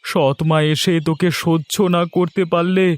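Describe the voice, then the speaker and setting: A man's voice: fairly high pitch, fairly even intonation, normal speed, no echo, close to an everyday voice. A man voicing a poor, tender, sorrowful widowed village father in a cartoon story. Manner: tender, lamenting. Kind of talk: Bengali cartoon story dialogue.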